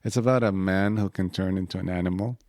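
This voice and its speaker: A middle-aged man from Israel whose first language is Arabic, speaking as an interview guest in a halting way with fillers and restarts. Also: sits low, steady tone, darkish tone, dry recording, studio quality. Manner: halting, restarts with fillers, short agreeing answers. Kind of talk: casual interview.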